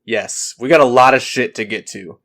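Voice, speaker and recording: A man hosting a podcast, joking and swearing in relaxed banter, a little congested from allergies. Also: medium pitch, normal speed. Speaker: podcast host. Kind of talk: casual chat.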